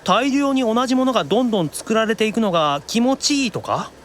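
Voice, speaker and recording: An actor playing a youthful, excitable demon younger brother in an anime audio drama, casual and expressive in his reactions. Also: high voice, fast talker. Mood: curious.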